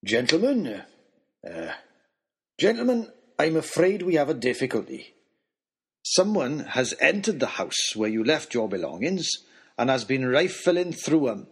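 A man putting on a kindly, hearty host in a storybook, speaking slowly with gentle finality and a firm edge underneath. The voice is slightly husky. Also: mid-range voice, full character voice. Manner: calm, warm, firm. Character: gentle but stern old host, hearty and kind.

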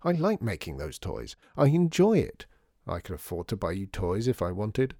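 A man acts out a sardonic storybook dad who grumbles and teases. His voice is relaxed and dark, with lively dips and rises. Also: low-pitched, moderate pace, vocal fry, no room sound, full character voice. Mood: defensive grumbling. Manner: dry, muttering, sardonic. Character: wry, grumbling, teasing father who makes toys.

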